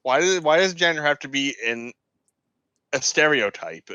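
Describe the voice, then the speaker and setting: A man's voice: a little high, lively intonation, normal speed, bright, forceful tone, clean tone, no room sound, lo-fi sound. A man co-hosting a podcast, giving his opinions in casual chat. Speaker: podcast co-host.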